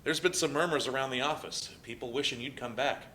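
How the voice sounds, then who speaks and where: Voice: medium pitch, a little fast, sharp tone, slight background noise, lightly acted. A performer playing a friendly, slightly awkward young man in a dramatic play reading. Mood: chatty, wistful. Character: William, friendly, awkward young male former coworker.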